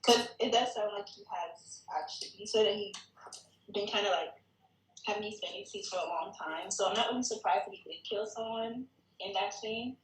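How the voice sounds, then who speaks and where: A woman with a mid-pitched voice shares her thoughts casually in a workshop. She is tentative and halting, working ideas out as she speaks. The room echoes noticeably around her voice. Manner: tentative, halting, thinking aloud. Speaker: participant giving script feedback at a table read.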